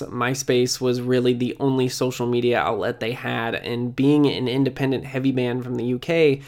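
Man narrating a conversational music history video. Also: medium pitch. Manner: informal, conversational phrasing. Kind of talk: scripted narration, video essay.

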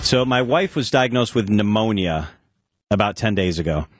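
A man's voice: on the low side, lively intonation, normal speed, faint music behind. A middle-aged man hosting an afternoon radio talk show, telling a personal story in a candid, conversational way. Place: radio studio. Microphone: studio microphone.

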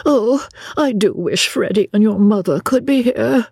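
A prim older English chaperone voiced by a woman narrator in a storybook reading, breathy and lifted in pitch. She is fretful and fussy, insisting on her own self-sacrifice with great politeness. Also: measured pace, no room sound, clean studio sound, full character voice. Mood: weary. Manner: fretful, hushing, martyred politeness. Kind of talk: audiobook character voice.

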